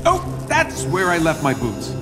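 An actor playing a cocky, wisecracking billionaire superhero inventor in a video game cutscene, with sarcastic, quippy delivery. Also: male voice, a little high, huge pitch swings, measured pace, music in the background. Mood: sardonic.

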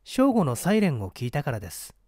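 A man's voice: on the high side, huge pitch swings, quick pace, a little mellow, dry recording, clean studio sound. A man as an unruffled young male witness. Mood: matter-of-fact.

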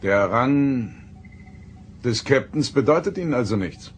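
An actor playing a reserved, middle-aged male starship captain, formal and measured, in a German sci-fi audio drama. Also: mid-range voice, lively intonation, a little hiss or hum, close to an everyday voice. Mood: stern, probing.

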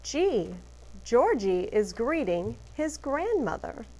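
A woman teacher reading a picture book aloud to children, deliberately emphasizing letters and phrases. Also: on the high side, big pitch swings, a little mellow, a little hiss or hum.

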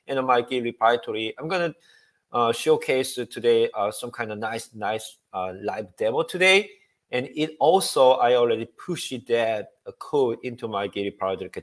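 Casting: upbeat presenter, man, forties, halting delivery full of fillers, headset mic on a video call. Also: mid-range voice, a bit bright. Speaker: guest speaker. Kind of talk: technical presentation. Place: home room.

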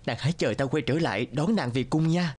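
A man's voice: a little high, a little fast, natural voice. A man voicing a tender, courtly young prince in a cartoon, speaking earnestly.

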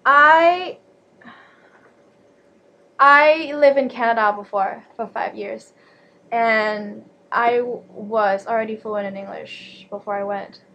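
A woman's voice: a little high, slow pace, gravelly, bright, forceful tone, lo-fi sound. A young woman with a Thai accent, a Thai native speaker, casually teaching Thai on a livestream from a small bedroom, chatty with lots of fillers and restarts. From Thailand. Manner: chatty, laughs often, many fillers and restarts.

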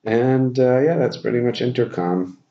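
A man in his thirties presenting a software tutorial informally, thinking aloud as he demonstrates at his computer. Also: mid-range voice, normal speed, creaky. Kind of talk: casual software screencast tutorial. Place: small plain room indoors. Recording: dull lo-fi audio, background noise.

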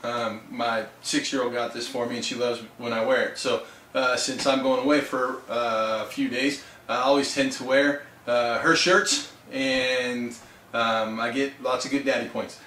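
A man in his thirties with a raspy voice, hosting a casual tabletop terrain review for his viewers, loose and chatty. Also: mid-range voice, level tone, unhurried, sharp tone, slight background noise. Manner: loose and chatty, full of fillers and asides. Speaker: tabletop hobby channel host.

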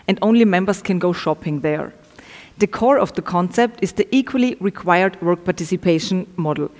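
Echoey young woman presenting research. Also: medium pitch. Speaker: researcher presenting study findings. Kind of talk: prepared academic presentation. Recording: echoey, reverberant room sound.

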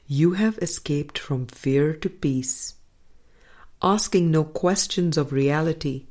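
A woman reading a spiritual book aloud in a measured, deliberate manner, pausing between phrases. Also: low voice, darkish tone. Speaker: audiobook reader.

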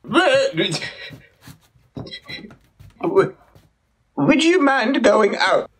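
A woman's voice: sits high, very animated, extremely slow, slightly rough, heavily acted. A woman playing a timid, flustered male servant in an audio play comedy, faltering and plaintive. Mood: timid, polite. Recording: noticeable background noise.

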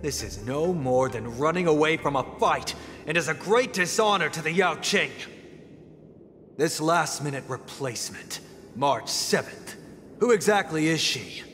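A man's voice: a little high, expressive, a little slow, a bit bright. A man playing a proud, indignant veteran swordsman in a video game, speaking in a formal, pompous manner. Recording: long reverb, music behind. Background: music.

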